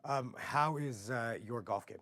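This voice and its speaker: An American man with a rough, hoarse voice hosting a casual live interview, talking in halting, rambling phrases. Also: mid-range voice, fairly bright tone, no echo. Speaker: TV show host.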